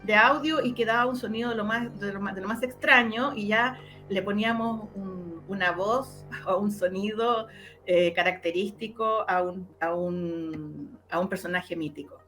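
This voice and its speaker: A woman's voice: mid-range voice, moderate pace, fairly bright tone, soft background music, rough recording. A woman with a Chilean accent giving casual interview answers, explaining eagerly and often repeating words as she searches for the next one. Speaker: interviewee, radio drama maker.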